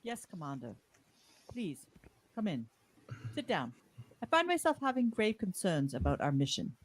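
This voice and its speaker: A woman playing a grave, principled male starship captain in an amateur podcast radio play, speaking deliberately and earnestly. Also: mid-range voice, big pitch swings. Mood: troubled.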